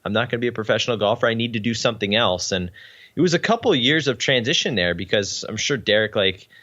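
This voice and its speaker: A man's voice: medium pitch, expressive, fast, sharp tone, muffled recording. A young Canadian man, a podcast guest, talking earnestly in a casual interview with frequent ums. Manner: earnest, uses many ums.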